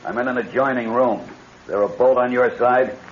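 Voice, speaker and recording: An actor playing a brisk, practical secret agent who talks tough and slangy in a 1970s-style radio drama. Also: male voice, mid-range voice, steady tone, background noise, lightly acted. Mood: casual. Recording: muffled old radio broadcast with music behind.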